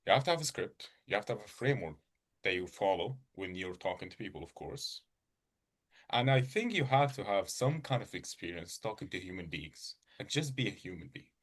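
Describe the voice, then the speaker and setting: A man's voice: on the low side, animated, a little fast, slightly rough. A man answering questions in an online class over a video call, talking it through in loose casual phrasing. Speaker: student on a call. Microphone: video call mic.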